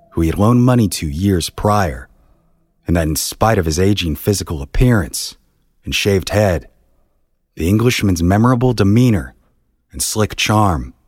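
A man's voice: low-pitched, breathy edge, no room sound, clean studio sound. A man reading scripted storytelling narration in the manner of a history documentary.

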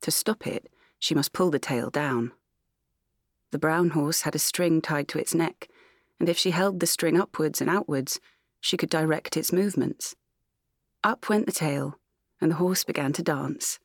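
A woman's voice: low voice, measured pace, no echo, studio quality. A woman with an English accent reading literary fiction as an audiobook narrator, in a lilting, musical voice.

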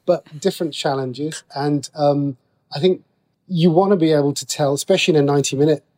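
A man's voice: on the high side, fairly quick, mellow. A man with a British accent talking as a guest writer in a conversational interview, explaining things in a chatty way.

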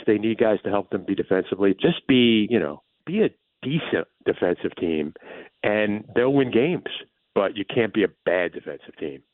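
A man giving measured, conversational sports analysis as an interview guest, explaining things like a play-by-play announcer. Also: on the low side, dry recording. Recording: muffled phone-like line.

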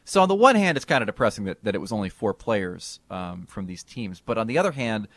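A man co-hosting a sports podcast, talking in a casual, animated way with bursts of emphasis. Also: lowish voice, fast talker, no room sound. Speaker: podcast co-host.

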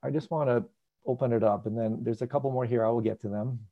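A man hosting an online hangout, teaching in a casual, animated, joking way with tangents, heard over a video call. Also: medium pitch, quick pace. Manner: animated, jokey, digressive. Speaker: host and meditation teacher. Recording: dull lo-fi video call audio.

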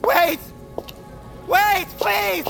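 A woman's voice: extremely high pitch, steady tone, extremely slow, creaky, fairly bright tone, faint music behind, exaggerated voice. A woman playing a young bird-folk assassin held prisoner in an audio drama, strained and insistent. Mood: desperate, pleading.

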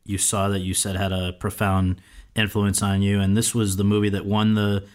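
A man's voice: fairly low pitch, monotone, normal speed. A man hosting an interview podcast, speaking in prepared introductions and questions.

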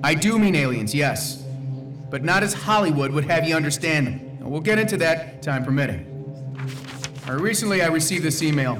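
Male actor as self-important conspiracy crank for audio drama. Highish voice, emphatic, indignant, forever preaching. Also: expressive, normal speed, over music, close to an everyday voice. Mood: preachy, indignant. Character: ranting, paranoid male conspiracy theorist, excitable and self-important. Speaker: conspiracy theorist and public speaker. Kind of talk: radio drama acting.

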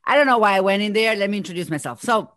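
A woman in her forties from Argentina, a native Spanish speaker with an Argentine Spanish accent, talking as a podcast guest and executive coach in an energetic, think-aloud way. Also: medium pitch, racing, dry recording, studio quality. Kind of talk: casual podcast conversation.

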